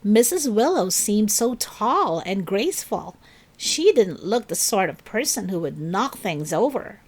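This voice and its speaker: A woman reading a children's story aloud in an expressive, dramatic and deliberate narrating style. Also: mid-range voice. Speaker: storybook reader.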